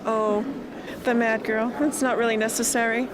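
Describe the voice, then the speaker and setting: A woman's voice: a little high, little pitch movement, normal speed, natural voice. A woman playing a cool, dismissive leader in a radio drama, speaking curtly. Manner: curt, dismissive. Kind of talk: amateur radio drama dialogue. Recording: loud background noise over the voice.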